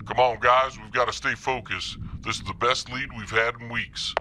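An actor playing a serious, focused stakeout team leader with a commanding manner in a comedy audio drama. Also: male voice, mid-range voice, fairly even intonation, moderate pace, lightly acted. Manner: businesslike, commanding. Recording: very noisy, with clicks.

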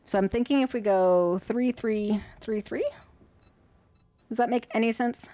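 A woman's voice: fairly high pitch, measured pace, mellow, no echo. A young woman streaming a game from home, chatting to herself as she builds, her voice a little worn. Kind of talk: casual gameplay commentary.

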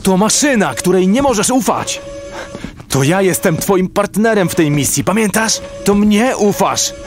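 A man's voice: sits high, lively intonation, measured pace, faint music behind. A man playing a defiant, distraught commando in a sci-fi audio drama, urgent and emphatic in delivery. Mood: pleading, insistent. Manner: urgent, emphatic, broken exclamations. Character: defiant, distraught male commando trapped in his body.

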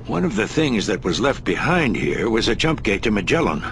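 An actor playing a kindly, reclusive older scientist in a sci-fi game, musing and avuncular. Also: male voice, lowish voice, big pitch swings, moderate pace, gravelly, over music. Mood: urgent. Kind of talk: acted dialogue in a sci-fi game cutscene.

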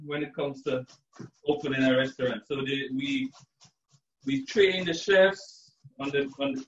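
Man from Trinidad, chef, chatty and relaxed, higher voice, roomy kitchen sound from far off, dull lo-fi. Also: slight background noise. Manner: relaxed chatty explainer, many fillers. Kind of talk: live cooking demo. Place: home kitchen indoors. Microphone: far camera, video call.

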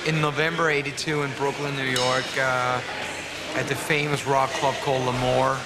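A man's voice: medium pitch, little pitch movement, moderate pace, low-quality recording. A young man from Denmark, speaking as a rock singer in a casual interview, rambling with many fillers and restarts.

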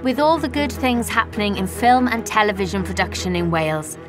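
A woman's voice: mid-range voice, animated, a little fast. Woman narrating an industry showcase over music.

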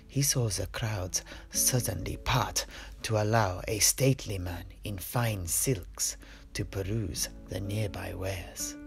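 A man playing a seasoned adventurer who recounts fantasy tales in deliberate, dramatic phrasing. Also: lowish voice, gravelly, heavily acted. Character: weary male adventurer from a fantasy Italian city, recounting tales. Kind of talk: in-character fantasy storytelling. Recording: music behind the voice.

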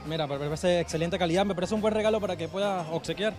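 A man's voice: high voice, quick pace. A man with a Chilean accent, an event organizer answering a reporter in an agreeable, eager way. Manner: eager, agreeable, repeats "claro". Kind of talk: on-camera promo interview. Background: background music.

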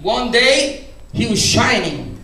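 An older man preaching a fervent sermon as pastor, often shouting and filling his speech with hallelujahs. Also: very high-pitched, sing-song, extremely slow. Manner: gestures broadly while preaching at a lectern. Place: church sanctuary, indoors, medium-sized. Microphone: handheld mic, filmed by a far camera. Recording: very echoey church hall, distant camera.

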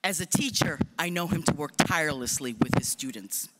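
A man's voice: a little high, animated, fairly quick, background noise. A man giving a formal introduction of a commencement speaker, with light joking asides. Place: large hall stage at podium. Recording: long hall reverb.

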